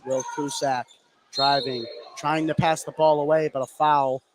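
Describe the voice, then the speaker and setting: High-voiced man calling live basketball. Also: flat delivery, unhurried. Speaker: play-by-play sports announcer. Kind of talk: live basketball radio commentary. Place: indoor gymnasium, courtside. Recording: clear background noise from a gym.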